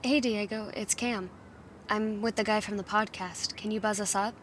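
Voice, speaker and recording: An actress playing a considerate, protective woman, a loyal friend speaking gently and carefully in an audio drama. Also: medium pitch, fairly even intonation, normal speed, heavy background noise, close to an everyday voice. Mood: friendly.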